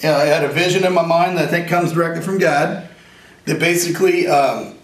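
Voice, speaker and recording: A man in his forties with a raspy voice, an inventor talking in a casual, rambling and earnest way, sometimes joking about himself. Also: fairly high pitch, fairly quick. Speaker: inventor and veteran interviewee. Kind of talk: casual interview talk. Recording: roomy echo, from a distance.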